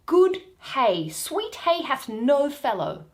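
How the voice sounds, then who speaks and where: A woman's voice: sits high, big pitch swings, slow, heavily acted. Woman playing pompous donkey-headed man, swooping delivery. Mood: hungry, drowsy. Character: Bottom, bumbling self-important man, part donkey, hungry and sleepy.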